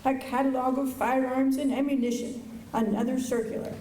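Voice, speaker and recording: Woman playing a coy, high-voiced frontier flirt, echoey. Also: fairly even intonation, moderate pace, warm tone, lightly acted. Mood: nosy. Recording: echoey auditorium with slight noise.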